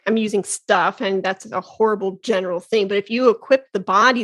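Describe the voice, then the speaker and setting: A woman in her thirties hosting a podcast, chatting casually and exclaiming often with laughs. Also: mid-range voice. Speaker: podcast host. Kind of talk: casual interview chat.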